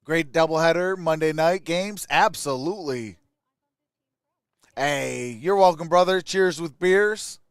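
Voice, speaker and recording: A man's voice: fairly high pitch, measured pace, clean tone, no room sound, studio quality. A man hosting a live sports stream, calling the plays and reacting in short clipped phrases. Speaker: live stream host and play-by-play caller.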